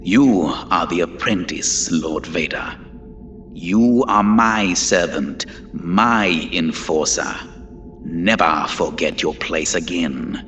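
A man voicing a cruel, domineering old emperor who speaks slowly, harshly and hypnotically. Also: sits low, a bit bright, slight background noise, pitched below the performer's own voice. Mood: scornful. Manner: slow, menacing, hypnotic.

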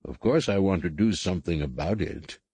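A man reading aloud plays a calm older male master who answers gently and evenly. His voice sits low and soft. The recording is dry and close. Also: husky, no room sound. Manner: calm, measured, mild.

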